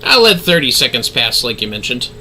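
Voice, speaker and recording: A man's voice: medium pitch, big pitch swings, fairly quick, sharp tone, slight background noise. A man hosting a gaming livestream with casual, easygoing, chatty talk to his viewers. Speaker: livestreaming gamer host.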